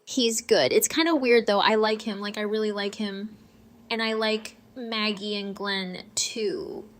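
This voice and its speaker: A woman's voice: mid-range voice, fairly even intonation, a little slow, a little hiss or hum, lightly acted. An actress playing a shy, unsure teenage girl in an audio drama, talking in a hesitant, casual, understated way. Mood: casual, guarded.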